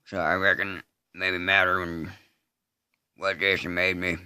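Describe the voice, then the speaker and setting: A man performing a film-style monologue as a slow, simple-minded rural killer, unrepentant, in a halting deadpan Southern US drawl with grunted hums. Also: sits low, clear, resonant tone, no echo, clean studio sound, heavily acted. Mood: flat, matter-of-fact. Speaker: amateur voice artist. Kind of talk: dramatic monologue re-enactment of a film scene.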